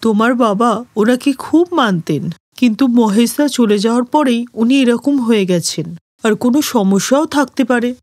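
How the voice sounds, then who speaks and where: A woman voicing a patient, kindly mother of a grown son in a cartoon story, gentle and conciliatory. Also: a little high, normal speed, no room sound, clean studio sound, natural voice. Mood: patient, soothing. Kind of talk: animated moral story cartoon dialogue.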